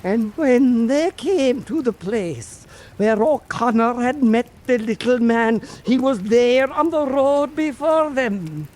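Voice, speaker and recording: A man telling a folk tale as scripted narration, in a measured and dramatic manner. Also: very high voice, animated, a little mellow. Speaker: storyteller.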